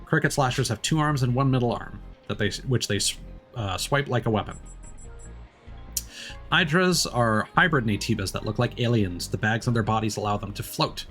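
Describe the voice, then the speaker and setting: A man's voice: mid-range voice, lively intonation, moderate pace, a bit bright. A man live-streaming a video game, reading on-screen text aloud and commenting as he plays. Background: faint game music.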